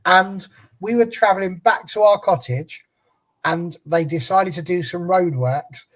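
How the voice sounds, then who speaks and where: An English man hosting a casual football panel, chatting in a jokey, self-deprecating way with lively banter. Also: sits high, measured pace. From England. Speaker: panel host.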